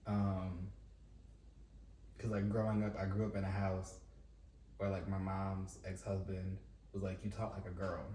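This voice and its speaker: A man hosting a podcast, talking in an expressive, playful way. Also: sits low. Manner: expressive, playful, quick to laugh. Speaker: podcast host. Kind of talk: casual conversation. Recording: echoey room, mic at a distance, faint noise.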